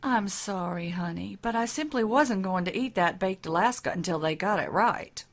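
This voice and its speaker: A woman's voice: mid-range voice, lively intonation, normal speed. Woman playing a coy, entitled society lady. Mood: gracious, breezy. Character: genteel, entitled, flirtatious Southern future First Lady. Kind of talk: comic audio drama acting.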